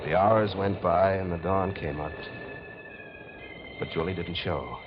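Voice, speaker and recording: An actor in a 1940s radio mystery playing an uneasy, hard-boiled drifter caught up in crime, on a dull old recording. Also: male voice, deep voice, a little slow, music in the background. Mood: brooding. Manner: terse, brooding, hard-boiled. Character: uneasy hard-boiled male drifter, reluctant accomplice and narrator. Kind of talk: 1940s radio acting, first-person narration.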